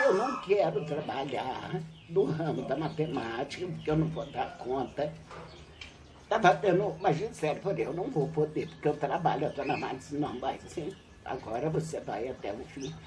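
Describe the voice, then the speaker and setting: An older woman tells stories from her teaching life with a lively, swooping voice. She is seated on a covered patio at home. The camera catches her from a short distance, and chatter from other people is heard behind her. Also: fairly low pitch. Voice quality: lively, animated storytelling with laughs. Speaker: retired teacher. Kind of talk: reminiscing interview.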